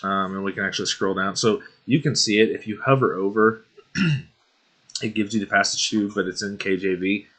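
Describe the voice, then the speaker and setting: Young male host, casual Bible-study walkthrough, bright lowish voice, throat-clearing, unhurried. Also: steady tone. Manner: unhurried, often clears his throat, says um.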